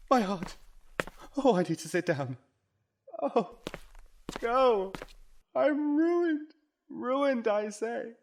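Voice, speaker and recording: A man playing an anxious, melodramatic rich gentleman in an audio drama, with theatrical exclamations and old-fashioned phrasing. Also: very high-pitched, expressive, very slow, long pauses, a little hiss or hum, exaggerated voice. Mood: despairing.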